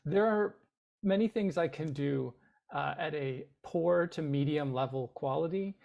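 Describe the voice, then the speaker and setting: A man with a somewhat high, gravelly voice, chatting as a guest. He is self-deprecating and a bit hesitant, with dry humor. He comes from the Boston area. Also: measured pace, darkish tone, lo-fi sound. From Boston area, USA. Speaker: guest actor. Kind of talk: casual chat.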